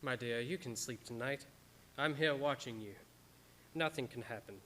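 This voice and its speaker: A man's voice: medium pitch, moderate pace, fairly bright tone, a little hiss or hum, close to an everyday voice, low-quality recording. An actor playing an anguished, devoted doctor who narrates from his journals in an old-time radio drama style. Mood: tender, reassuring. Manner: stammering when distressed, dramatic.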